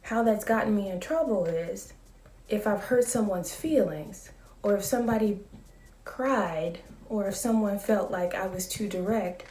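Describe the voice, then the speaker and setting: Woman, late 30s, soft calm vlogger voice, slow and mellow, echoey room, a bit off-mic. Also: medium pitch, distant mic. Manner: calm, measured and reflective. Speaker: school psychologist. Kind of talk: casual talking-to-camera vlog.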